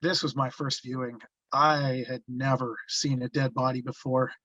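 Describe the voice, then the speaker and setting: A man reading a haunted, reflective first-person narrator looking back on his youth, halting in places, on slightly muffled audio. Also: medium pitch, level tone, sharp tone, no room sound. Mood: reflective, regretful. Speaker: actor reading the narrator at a table read.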